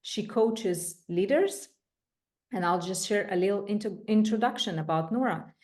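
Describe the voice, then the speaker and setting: A woman hosting a podcast interview, talking deliberately and praising her guest warmly. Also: medium pitch, expressive. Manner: slow, deliberate, effusive praise. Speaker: podcast host and co-founder.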